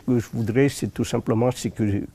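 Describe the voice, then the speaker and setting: A man's voice: mid-range voice, steady tone, fairly quick, dark tone, breathy edge. A man from Madagascar gives an informal testimonial as an association president, hesitantly repeating words as he searches for phrasing.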